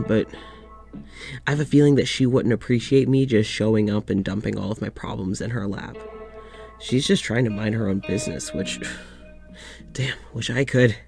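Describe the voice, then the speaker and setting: An actor playing a lonely, weary doctor in an audio drama, confiding in a halting, sighing way. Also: male voice, on the low side, creaky, darkish tone, soft background music. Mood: resigned. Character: lonely, weary young doctor venting to his demon dog.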